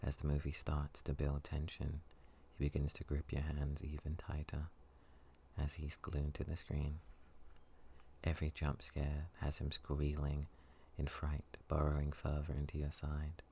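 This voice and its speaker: A man softly narrating an intimate story as an ASMR narrator. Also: rumbling low, monotone, a little slow, gravelly, slight background noise.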